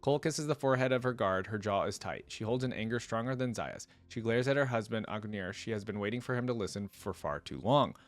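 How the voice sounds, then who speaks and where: A man's voice: on the low side, animated, fast, few pauses, soft background music. A man hosting a reaction video, reading a story aloud and breaking off for casual, reflective commentary. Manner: casual, stops to explain and reflect.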